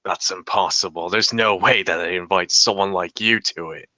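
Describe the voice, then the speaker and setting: Man acting a sharp, forceful, grudging informant. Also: sits low, steady tone, moderate pace, dry recording. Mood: incredulous. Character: jumpy, grudging male underworld informant, the Hatter. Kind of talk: scripted table read, crime drama.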